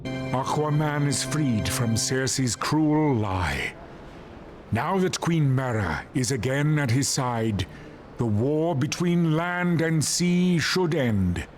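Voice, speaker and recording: An actor playing a grave, resolute hero in a superhero game, with formal, declamatory delivery. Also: male voice, medium pitch, expressive, slow. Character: stern heroic man, grave, righteous, resolute. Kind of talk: dramatic superhero game acting. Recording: loud music over the voice.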